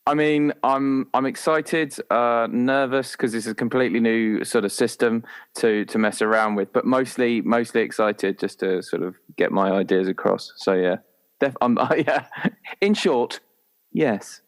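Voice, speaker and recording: A man's voice: mid-range voice, unhurried. A man in casual, jokey and hesitant conversation as a podcast guest, recorded in a cupboard. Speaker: podcast guest, role-player. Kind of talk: casual chat. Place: small cupboard used for remote recording.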